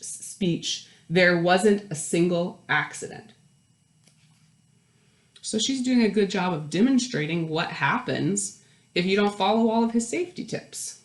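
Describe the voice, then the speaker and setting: A woman reading a picture book aloud to young children, expressively, pausing for chatty explanations and questions. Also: mid-range voice, reverberant. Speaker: children's storytime reader.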